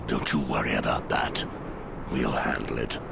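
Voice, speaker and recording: An actor playing a taciturn, seasoned warrior of a fantasy watch in a video game, gravelly and dry. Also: male voice, rumbling low, steady tone, moderate pace, noisy background, exaggerated voice. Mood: wry. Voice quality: gravelly, creaky. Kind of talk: video game cutscene acting.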